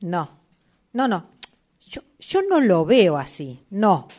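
Actress as uneasy Rioplatense woman, slow, animated. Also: medium pitch. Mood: uneasy, objecting. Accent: Rioplatense Spanish. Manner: hesitant, repeats short words. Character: uneasy, protective woman who doubts and corrects. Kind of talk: radio theatre acting, workshop fiction.

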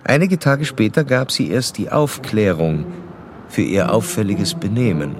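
Low-voiced, formal male doctor played by an actor. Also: a little slow, a crowd in the background, close to an everyday voice. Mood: detached, formal. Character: detached male analyst doctor, formal, measured, authoritative. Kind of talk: radio drama, formal case-report narration and dialogue.

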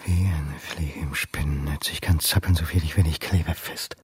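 A deep, rough-breathed man in a crime radio drama, middle-aged and brooding. His murmured, introspective delivery is very dry and close, with a bright edge. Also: steady tone, moderate pace, dry recording, heavily acted. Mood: bleak, resigned. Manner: introspective, murmured monologue dropping to a whisper. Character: brooding male historian held in custody. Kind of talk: German crime radio play acting.

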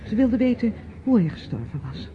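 A woman's voice: a little high, very animated, a little fast. An actress playing an evasive, exasperated niece in her thirties in a radio drama, polite but hesitant and defensive. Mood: subdued, evasive. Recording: muffled, noisy old radio play recording.

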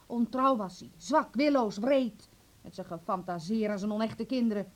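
High-voiced, domineering mother played by actress. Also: moderate pace, close to an everyday voice. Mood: bitter, indignant. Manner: indignant, reproachful. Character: bitter, domineering, scornful mother of a teenage son. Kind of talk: Dutch radio drama acting.

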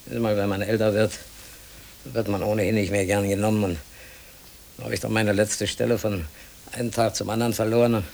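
An actor playing a despairing middle-aged unemployed man who pleads haltingly, in a German radio play. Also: lowish voice, little pitch movement, gravelly, darkish tone, slight background noise. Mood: bitter resignation.

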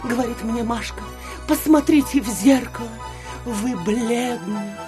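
An actress playing an excitable, breathless provincial society lady who gossips with gushing, theatrical alarm in an audio drama. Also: a little high, measured pace, music in the background, close to an everyday voice. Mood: excited, alarmed. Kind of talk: audio drama acting of classic literature.

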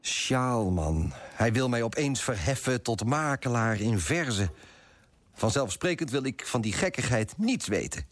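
A man's voice: medium pitch, big pitch swings, measured pace. An actor playing a smug, moralizing male broker who narrates in a pompous, disapproving way in a radio play. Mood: disdainful.

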